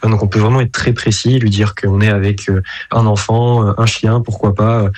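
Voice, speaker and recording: A man from Belgium speaks as a radio guest, his voice sitting a bit low. He talks in halting runs, full of fillers and restarts. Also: flat delivery, a little mellow. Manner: hesitant, many fillers and restarts. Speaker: app founder guest. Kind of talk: radio interview answers.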